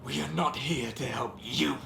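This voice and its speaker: A man playing an indignant mage in a fantasy game, forceful and accusing in his manner. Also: mid-range voice, a little slow, gravelly. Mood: furious, accusing. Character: indignant male mage defending a spirit friend. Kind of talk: scripted game dialogue. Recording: echoey game capture, faint noise.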